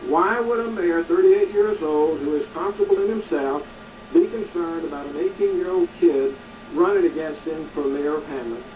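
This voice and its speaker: A man's voice: on the high side, big pitch swings, normal speed. Indignant man delivering a preachy commentary. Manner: indignant, preachy, many hesitations. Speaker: community activist hosting a local show. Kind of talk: editorial commentary to camera. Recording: distant, dull, slightly noisy recording.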